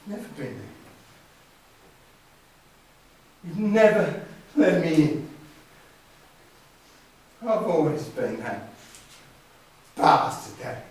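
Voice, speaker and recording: Stage drama: male actor as wounded, defiant grown son, high-pitched and deliberate, distant in a very reverberant hall. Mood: hurt, resigned. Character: wounded, defiant grown son confronting his bullying brother. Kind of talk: stage play acting. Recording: very echoey hall, distant mic.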